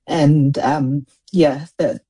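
A woman's voice: deep voice, fairly even intonation, very slow, dark tone, slightly rough. A woman in her forties, born in Samoa with Samoan as her first language, answering interview questions thoughtfully with many restarts. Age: 40s. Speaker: poet, guest.

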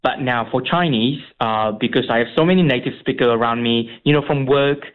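A young man whose first language is Vietnamese, a radio interview guest speaking in a halting way with many fillers and restarts. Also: medium pitch. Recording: tinny phone line.

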